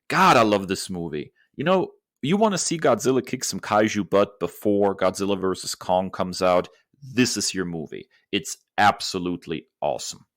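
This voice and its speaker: A man's voice: low-pitched, expressive, normal speed, few pauses, dry recording, clean studio sound. A man co-hosting a podcast, giving emphatic, opinionated and enthusiastic movie commentary. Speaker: podcast co-host. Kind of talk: enthusiastic movie review talk.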